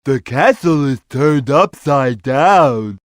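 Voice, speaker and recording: A man's voice: mid-range voice, expressive, background noise. A man voicing a big, easygoing, simple-minded cat in a video game, with a childlike drawl. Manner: slow-witted, childlike, drawling. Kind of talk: video game character voice lines.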